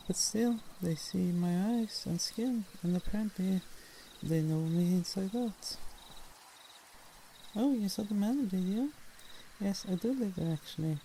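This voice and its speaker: A woman's voice: lowish voice, dark tone, natural voice. A woman voicing a lonely, youthful-looking vampire in an ASMR roleplay, speaking in a wistful, formal and shyly hesitant way. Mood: wry, guarded. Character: lonely, tsundere female vampire, youthful but 130 years old. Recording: noisy, nighttime crickets and owls behind. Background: night woodland ambience with crickets, owls.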